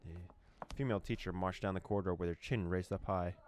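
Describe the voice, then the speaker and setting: A young man from the former USSR reading a horror visual novel aloud, with quick laughs and reactions between lines. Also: low voice, fairly even intonation, a little mellow, a little hiss or hum. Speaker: let's play host.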